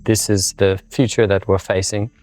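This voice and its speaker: American guy in his 30s, lowish mellow voice, thoughtful interview talk, music behind. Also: level tone, normal speed. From United States (born in Brazil, raised in the US). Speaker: coffee farmer, interviewee. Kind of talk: documentary interview, explanatory storytelling.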